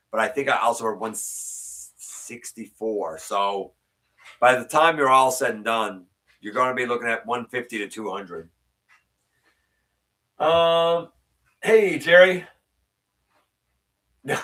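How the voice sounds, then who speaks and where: Bright-voiced man hosting a live chat. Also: distant mic. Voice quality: sometimes a little raspy. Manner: opinionated, ranting with rising pitch when worked up. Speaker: host reading viewer comments. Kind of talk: casual live-stream chat.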